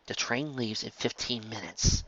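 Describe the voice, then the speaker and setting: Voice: mid-range voice, a little slow, fairly bright tone, no echo, highs cut off. A narrator voicing a young female unicorn who is easily embarrassed and given to exasperated complaint, in a storybook fan fiction.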